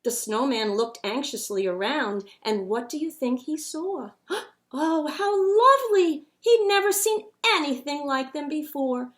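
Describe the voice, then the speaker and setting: Lady reading a picture book to kids, high voice, really slow n expressive, bit dramatic. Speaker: storyteller reading to children.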